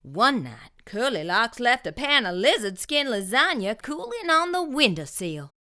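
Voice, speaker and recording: Bright, animated woman reading aloud. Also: a little high, measured pace. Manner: animated, expressive storytelling. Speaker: children's book reader, channel host. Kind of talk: storybook read-aloud.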